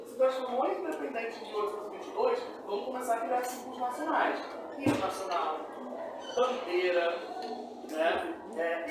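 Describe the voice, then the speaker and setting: A Brazilian man teaches in a didactic, questioning manner, as in a classroom lecture. His voice rings heavily around the room and reaches a distant microphone, with clear noise behind it. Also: high voice. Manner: didactic, prompts listeners with questions. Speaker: history teacher. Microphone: distant from speaker. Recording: echoey room, distant mic, noisy.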